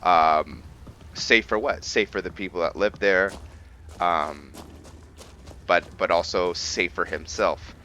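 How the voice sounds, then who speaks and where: Low-voiced, slow-talking man with bright edge. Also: lo-fi sound, a little hiss or hum. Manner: halting, thinking aloud. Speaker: gameplay host and role-player. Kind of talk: casual game commentary explaining character lore.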